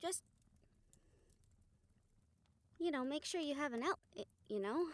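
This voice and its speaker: A woman playing a gentle, supportive rehabilitator comforting a friend in an intimate audio roleplay, speaking softly, earnestly and a little hesitantly. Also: high voice, dry recording. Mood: tender, amused. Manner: soft, hesitant, reassuring, earnest.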